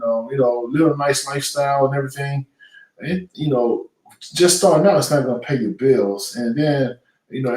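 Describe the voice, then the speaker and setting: A man's voice: mid-range voice, a little mellow. An earnest middle-aged man talks through his answers with plenty of fillers and restarts. He sits back from the microphone, so his voice comes across distant. The room around him is echoey. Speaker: guest, retired boxer turned businessman. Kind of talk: conversational interview. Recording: echoey room audio, voice from far off the mic.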